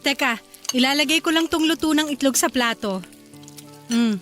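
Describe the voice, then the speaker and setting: A sweet bashful young wife. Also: female voice, high-pitched, moderate pace, a bit bright, faint music behind. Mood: tender. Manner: sweet, shy, giggly. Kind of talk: radio drama acting.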